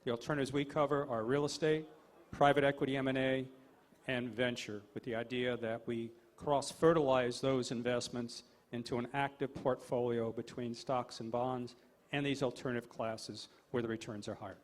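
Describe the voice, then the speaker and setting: A man's voice: medium pitch, fairly even intonation, normal speed. A man speaking on a panel about investing, explaining in a businesslike way. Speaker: panelist, angel investor group leader. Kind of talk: panel discussion.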